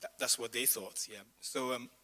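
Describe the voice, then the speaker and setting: Pastor with a bright, strained voice. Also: male voice, mid-range voice, fairly even intonation, moderate pace. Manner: conversational, chatty, with asides to the congregation. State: voice strained, says he lost his voice. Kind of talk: sermon with personal stories. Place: church community hall.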